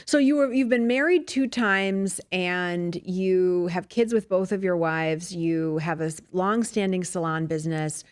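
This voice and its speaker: A woman's voice: lowish voice, measured pace, few pauses, smooth. Attentive woman podcast host. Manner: attentive, encouraging with frequent mm-hmms. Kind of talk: casual interview chat.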